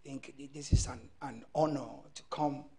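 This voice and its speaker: A middle-aged man with a Cameroonian accent introducing himself as a pastor, in halting short phrases. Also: medium pitch, fairly even intonation, warm tone. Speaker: pastor, talk-show guest. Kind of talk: self-introduction on a panel. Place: indoor TV studio, seated.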